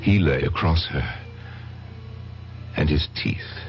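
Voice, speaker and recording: Low-voiced, haunted confessor. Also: male voice, creaky, soft background music, exaggerated voice. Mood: haunted intensity. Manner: intense, halting, dramatic pauses. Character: haunted, guilt-ridden man confessing a dark secret. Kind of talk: old-time radio drama monologue. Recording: muffled vintage radio recording.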